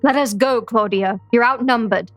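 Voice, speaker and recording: A woman's voice: on the high side, normal speed, a bit bright, soft background music, lightly acted. A woman playing a feisty, determined young heroine in an audio drama, earnest and defiant.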